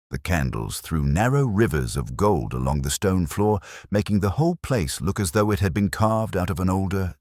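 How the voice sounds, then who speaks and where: A man reading a scripted literary detective story in the first person, in a measured, brooding, wry manner. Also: rumbling low, dry recording, clean studio sound. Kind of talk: scripted literary mystery narration.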